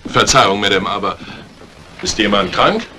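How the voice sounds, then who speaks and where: An actor playing a polite, slightly evasive butler in a 1950s German radio mystery, with measured, formal courtesy. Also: male voice, fairly low pitch, animated, natural voice. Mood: deferential, guarded. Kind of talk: 1950s German radio crime drama acting. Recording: faintly noisy vintage radio drama recording.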